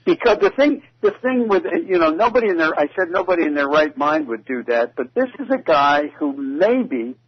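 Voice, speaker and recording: A man discussing politics casually as a radio commentator, sometimes halting and repeating himself. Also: on the high side, big pitch swings, breathy edge, no echo. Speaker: talk radio guest commentator. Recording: dull lo-fi radio audio.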